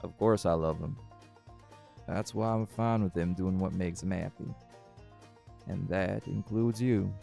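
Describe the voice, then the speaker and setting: A man's voice: low-pitched, steady tone, unhurried, creaky, pauses often, over loud music, exaggerated voice. A man playing a charming, unbothered cowboy desperado in an intimate audio roleplay, folksy and suave with teasing endearments. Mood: calm, reassuring.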